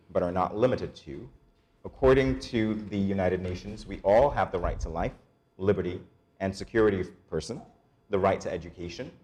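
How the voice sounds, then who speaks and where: This is a man with a very low, deep voice, hosting a morning talk show. He presents in a measured delivery that sounds read from a script, and speaks steadily and plainly to the audience at home.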